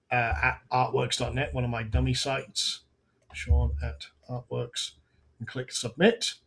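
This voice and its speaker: A man with a slightly raised pitch, hosting a live tutorial in a loose, chatty way. His voice is worn and going hoarse, and he often stops to restart a sentence. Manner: casual, talks through on-screen steps with frequent restarts. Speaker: webinar host.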